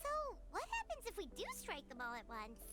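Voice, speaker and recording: A woman voicing a tiny, excitable childlike companion in a video game. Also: very high-pitched, normal speed, full character voice. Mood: curious. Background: loud music.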